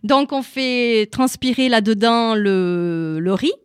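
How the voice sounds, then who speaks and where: A woman's voice: a little high, slow pace, clean tone, dry recording, clean studio sound. A woman with an Italian accent, a shop owner and guest, chatting and explaining cooking step by step. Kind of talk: casual explanation of recipes.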